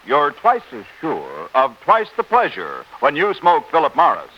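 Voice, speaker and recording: A man delivering an emphatic 1940s radio sales pitch as announcer, on a dull old recording. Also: wildly swooping pitch, a little slow, slightly rough.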